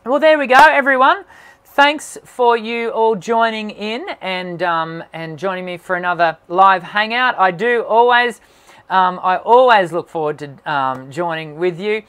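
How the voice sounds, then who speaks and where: A man who hosts a singing channel, answering viewer questions on a live stream in an encouraging tone and often pausing with "um". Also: high voice, fairly bright tone, clear, resonant tone. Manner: encouraging, talks with many "um" fillers. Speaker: singing teacher and channel host.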